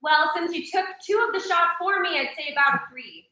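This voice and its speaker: Actress as a guarded, high-voiced young woman. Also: a little fast, sharp tone. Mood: wry. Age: young adult. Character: guarded young woman fending off teasing friends. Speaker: acting student in a staged reading. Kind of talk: stage acting, conversational banter. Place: echoey room at a staged reading. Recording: very echoey hall, distant mic, noisy.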